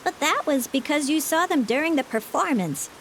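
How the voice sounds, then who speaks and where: A woman's voice: sits high, lively intonation, normal speed, fairly bright tone, slight background noise, voice pushed up. A woman voicing a solemn, pale little girl in a storybook reading, speaking earnestly and precisely. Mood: explaining. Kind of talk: audiobook reading.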